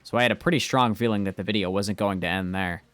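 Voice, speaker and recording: An earnest, anxious schoolboy voiced by a man with a fairly low voice tells his own story. It is amateur visual novel narration, recorded dry over soft music. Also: fast, no echo, natural voice. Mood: uneasy, reflective. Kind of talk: scripted first-person visual novel narration, amateur fan dub.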